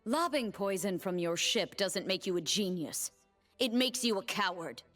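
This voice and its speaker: A proud young blade-dancing warrior , played by a woman from a video game. She is poised and cutting, taunting her foes with a sharp tongue. Faint music plays behind her. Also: mid-range voice, animated, normal speed, dry recording, lightly acted. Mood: scornful. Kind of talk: video game character voice lines.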